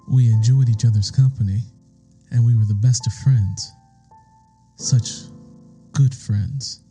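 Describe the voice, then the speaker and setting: A man's voice: lowish voice, warm tone, natural voice. A man narrating an audiobook as a guarded, lovestruck young man telling of his own romance.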